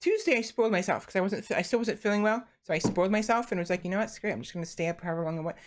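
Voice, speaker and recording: A woman's voice: mid-range voice, very fast. Tired young woman rambling to her stream. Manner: rambling, many restarts and fillers. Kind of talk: casual chat to stream viewers.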